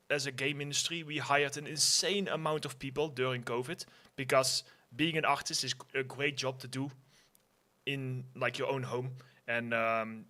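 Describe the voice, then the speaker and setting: A man on a livestream panel who speaks bluntly and plainly, moving the talk along. His voice has a bright, forward edge. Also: mid-range voice. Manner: frank, blunt, steering the conversation.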